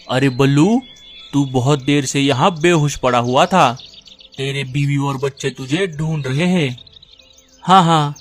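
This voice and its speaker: A man voicing an earnest, helpful talking bird in a cartoon, with emphatic delivery. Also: a little high. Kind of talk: cartoon dub acting.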